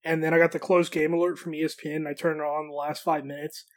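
A man's voice: fairly high pitch, little pitch movement, quick pace. A young man co-hosting a casual football podcast, ranting bluntly and swearing freely as he retells a game. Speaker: podcast co-host. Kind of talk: casual sports podcast chat.

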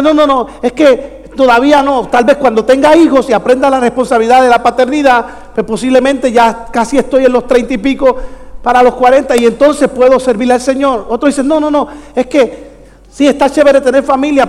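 A male preacher with a very high-pitched voice delivers a sermon in a hall with long reverb. He exhorts the congregation, repeats key phrases for emphasis and keeps prompting them with questions. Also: fairly even intonation, a little fast, darkish tone.

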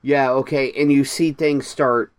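A man co-hosting a casual podcast, talking earnestly as a parent of a young son, with quick bursts and restarts. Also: medium pitch, fairly even intonation. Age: young adult or middle-aged, parent of a six-year-old. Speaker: podcast co-host. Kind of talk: casual chat.

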